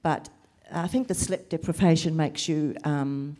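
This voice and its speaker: A middle-aged woman, a carer and social worker, speaking earnestly on a panel, sleep deprived and emotional, with measured pauses. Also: low voice, dark tone. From Lebanese family, raised in New Zealand and Australia. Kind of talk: panel discussion answers.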